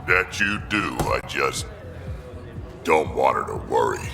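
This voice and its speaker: A battle-worn, aging alien warrior from a video game, blunt and world-weary. His gravelly voice swings forcefully up and down in a reverberant space with faint music behind. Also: expressive, slow, exaggerated voice. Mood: weary, gruff. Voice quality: deep, gravelly. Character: gruff, aging male alien krogan warrior, battle-loving.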